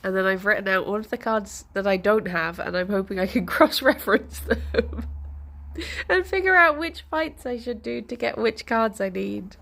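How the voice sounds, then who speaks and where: A young woman streamer with a congested, bunged-up voice, chatting softly with viewers and laughing often. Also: medium pitch, moderate pace, vocal fry. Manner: soft, chatty, laughs often, greets chat by name. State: congested, stuffy nose. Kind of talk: casual morning chat with viewers.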